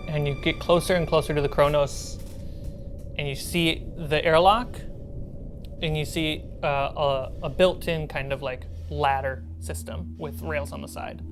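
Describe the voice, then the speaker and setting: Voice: mid-range voice, steady tone, measured pace, faint music behind. A game master narrating a tabletop role-playing game to the players.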